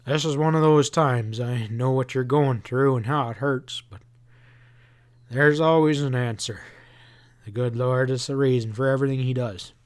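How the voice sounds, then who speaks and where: A man reading aloud and voicing an earnest farm father who speaks gravely and calmly to his son. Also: mid-range voice, normal speed, natural voice.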